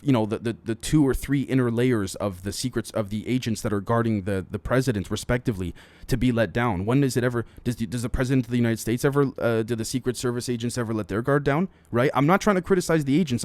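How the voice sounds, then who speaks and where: A man's voice: medium pitch, a little mellow, no room sound. A man hosting a solo podcast, running through news items with opinionated, speculative commentary and often speaking directly to his listeners. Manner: frequently addresses listeners directly. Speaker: podcast host.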